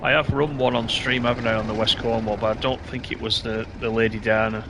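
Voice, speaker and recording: A man casually commenting on his train game while streaming, reading out and answering chat comments, his voice a bit hoarse. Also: mid-range voice, level tone, brisk, background noise. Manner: chatty, reads out chat comments. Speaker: live streamer.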